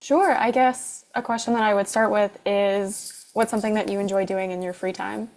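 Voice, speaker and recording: 20 yr old woman on a dating show, casual, average pitch. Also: fairly even intonation, moderate pace, a little mellow. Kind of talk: casual introduction and question.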